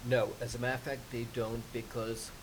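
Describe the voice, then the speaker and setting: A man whose first language is Persian, answering questions as a podcast guest in a measured, explaining way with frequent ums. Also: mid-range voice, level tone, rough recording. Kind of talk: conversational interview. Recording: hissy podcast recording.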